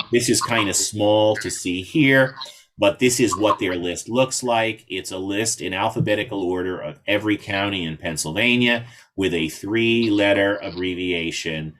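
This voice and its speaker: A man's voice: on the low side, a bit bright. A man giving an informal instructional presentation to a club as an invited speaker, explaining things step by step. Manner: explanatory, spells out codes in phonetic alphabet.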